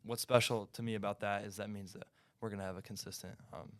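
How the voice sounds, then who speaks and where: A teenage boy runner speaking in a measured, hesitant way with frequent ums. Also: lowish voice, fairly even intonation, no echo. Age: teens. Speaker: high school runner.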